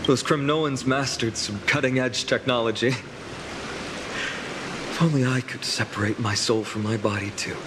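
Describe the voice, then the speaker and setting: An actor playing an earnest young male hero in a game, sincere and reflective, with quiet self-doubt. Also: medium pitch, fairly even intonation, moderate pace, pauses often, noisy background, natural voice. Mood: wistful. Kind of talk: dramatic video game dialogue.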